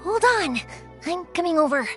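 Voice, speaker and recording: A kindhearted young woman from an anime game. Her voice is high and very lively in pitch. She talks in a casual, reassuring way, over soft music. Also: normal speed. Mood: startled, cautious. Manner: animated, reassuring, casual and expressive. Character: cheerful, kindhearted young woman fireworks maker, protective and chatty. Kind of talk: English anime game voice acting.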